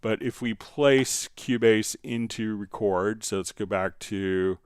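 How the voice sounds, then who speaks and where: A man hosting a casual, easygoing software tutorial, explaining steps and answering viewer questions. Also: lowish voice, takes it slowly, clear, resonant tone, no room sound, clean studio sound. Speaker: live stream host, software instructor.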